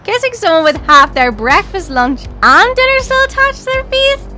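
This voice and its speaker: A woman's voice: very high voice, big pitch swings, normal speed, pushed and bright, heavily acted. A young woman playing a cheeky, sarcastic student in an audio drama, bantering casually. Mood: dismissive, sarcastic. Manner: teasing, sarcastic. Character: stylish young Irish student, cheeky and dismissive of boys. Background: music.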